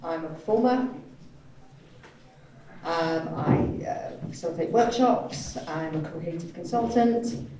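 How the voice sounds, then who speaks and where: A woman's voice: on the low side, animated, slow. A woman working as a live sign language interpreter, voicing speakers in a panel discussion. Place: echoey event room, indoors. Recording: very echoey hall, distant mic, faint noise.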